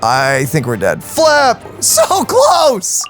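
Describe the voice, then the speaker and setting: A woman co-hosting a let's-play, talking excitedly and gushing about the game. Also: medium pitch, drawn-out words, soft background music. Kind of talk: casual gaming commentary.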